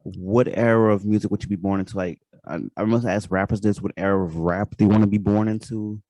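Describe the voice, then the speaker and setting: A man's voice: on the low side, steady tone, a little fast, a little mellow, no echo. A man hosting a casual interview, asking long rambling questions full of fillers and restarts. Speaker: podcast host.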